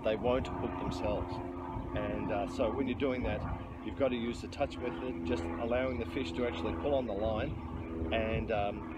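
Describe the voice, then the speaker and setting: A middle-aged man, chatting casually and explaining his fishing as he goes, with frequent ums and restarts, filmed on a riverbank by a bridge. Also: lowish voice. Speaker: fishing vlogger. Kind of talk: casual outdoor fishing commentary. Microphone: handheld camera close-up. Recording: noisy outdoor riverside recording.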